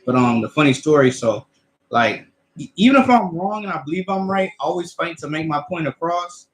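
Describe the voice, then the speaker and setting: A man's voice: a little high, animated, a little fast, echoey room, low-quality recording. A young man in his twenties, co-hosting a casual chat, rambling with many stammered restarts. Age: 20s. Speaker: co-host.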